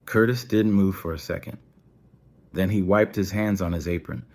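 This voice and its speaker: A man with a deep voice narrates an audio story. He reads prepared prose as the storyteller between lines of dialogue. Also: steady tone, moderate pace, a little mellow.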